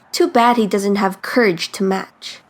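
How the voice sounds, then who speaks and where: A woman's voice: medium pitch, measured pace, natural voice. A woman playing a cool, sarcastic teenage girl in a comic book dub, haughty in manner. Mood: scolding.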